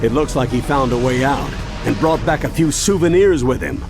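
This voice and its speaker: Man as eccentric cartoon grandpa: old, genial, gravelly, lively pitch, music behind. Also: moderate pace, close to an everyday voice. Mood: serious. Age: older. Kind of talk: animated game cutscene acting.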